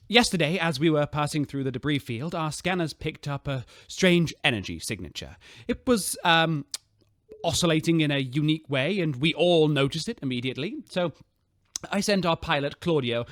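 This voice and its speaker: A man's voice: on the high side, fairly bright tone, no echo, studio quality. An actor playing an insecure, bumbling male starship captain who tries awkwardly to sound official in a sci-fi radio drama. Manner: hesitant, awkward, faltering officialdom. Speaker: ship captain.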